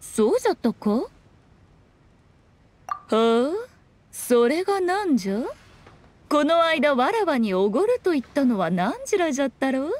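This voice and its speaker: High, lilting, haughty fox spirit. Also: female voice, big pitch swings, moderate pace, pauses often, a little mellow, slight background noise. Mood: haughty, teasing. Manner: archaic noble speech, teasing, imperious. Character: sly, haughty fox-spirit shrine maiden, elegant and teasing. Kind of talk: Japanese anime game voice acting.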